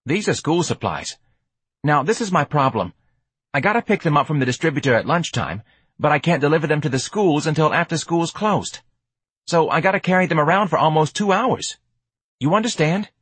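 A man's voice: fairly high pitch, animated, fairly quick, a bit bright, husky, no room sound, pitched above the performer's own voice. A man voicing a cocky, streetwise young male hustler who wheedles in casual slang for an audiobook. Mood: wheedling. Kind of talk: audiobook character acting.

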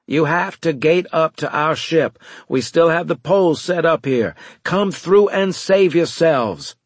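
A man playing a desperate, commanding space captain in an audiobook, forceful and insistent. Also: a little high, normal speed, slightly rough, no room sound, voice pushed up. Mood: desperate pleading. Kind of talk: audiobook character dialogue.

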